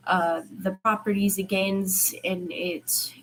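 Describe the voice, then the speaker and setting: A woman voicing a soft-spoken, bashful young male student in a story, polite and a little hesitant. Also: medium pitch, little pitch movement, slow, a little hiss or hum, poor audio. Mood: eager. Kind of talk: amateur fiction character dialogue.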